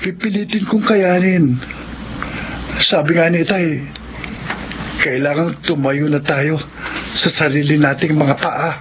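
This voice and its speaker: An actor playing an earnest, respectful teenage son and student in a Filipino radio drama. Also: male voice, on the high side, unhurried, long pauses, lightly acted. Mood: determined. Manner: respectful, sincere. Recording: muffled radio drama, clear noise.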